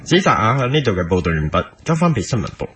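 A man's voice: fairly low pitch, big pitch swings, fast, over loud music. A man playing an earnest, obstinate young TV news reporter with a pompous manner and a Hong Kong Cantonese accent, in a comic radio drama. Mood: solemn reporting. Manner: pompous, doggedly repetitive questioning.

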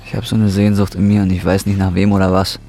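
Deep-voiced, brooding young man. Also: little pitch movement, quick pace, gravelly, mellow, soft background music. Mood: lonely, longing. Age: 20s. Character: troubled, bitter young man on drugs, brooding narrator. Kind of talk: dramatic first-person narration and radio drama dialogue.

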